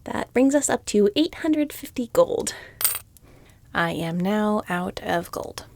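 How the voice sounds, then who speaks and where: A woman's voice: mid-range voice, lively intonation, slow pace, a little mellow. A woman playing a weary, exasperated shopkeeper in a fantasy audio roleplay, reluctantly polite, with dry, muttered sardonic asides. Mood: flustered, incredulous.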